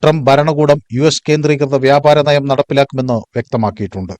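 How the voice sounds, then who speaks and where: A man's voice: medium pitch, steady tone, a little fast, a little mellow, no room sound. A man reading a scripted news report as a reporter.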